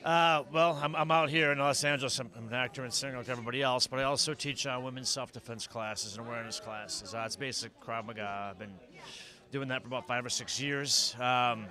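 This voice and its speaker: A man giving casual, halting interview answers at a red carpet event, often repeating his words. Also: medium pitch, fairly even intonation, pushed and bright. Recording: outdoor crowd noise behind voice.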